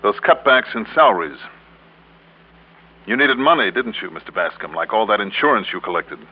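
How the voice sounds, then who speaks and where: A hard-nosed investigator in an old-time radio detective drama. His voice is on the low side, measured and pointed. It comes through a slightly narrow vintage recording with music about. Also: lively intonation, breathy edge. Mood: accusing. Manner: probing, measured, accusing. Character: hard-nosed, steady male insurance investigator.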